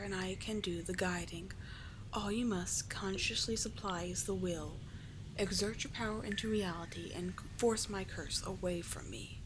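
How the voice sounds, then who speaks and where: Woman voicing a soft, breathy, coaxing sea witch. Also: on the low side, noisy background, close to an everyday voice. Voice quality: soft, breathy, often near-whispered. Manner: soothing, coaxing, formal storybook diction. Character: cursed sea witch mermaid, doting, teasing, regal mentor. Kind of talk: ASMR roleplay.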